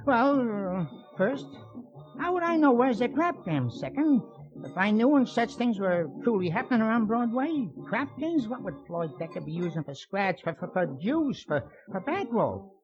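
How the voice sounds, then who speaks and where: A man's voice: sits high, very animated, normal speed, music in the background. An actor voicing a slangy male Broadway informer who stammers and repeats himself in a radio crime drama. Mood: eager storytelling. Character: slangy, fast-talking male Broadway informer, touchy and ingratiating. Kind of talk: 1950s radio crime acting. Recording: muffled old radio recording.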